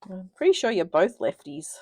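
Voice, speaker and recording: A woman's voice: on the low side, big pitch swings, normal speed, a little mellow, slight background noise. A woman hosting a live card-making stream, chatting and thinking aloud as she works, a bit sniffly from a cold. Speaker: craft demonstrator, live stream host. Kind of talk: casual live crafting chat.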